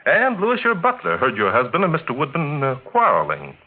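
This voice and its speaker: An actor playing a shrewd gentleman detective, politely formal and deliberately probing, in 1940s radio style. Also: on the high side, huge pitch swings. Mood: coolly probing. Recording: scratchy 1940s radio, narrow tinny band.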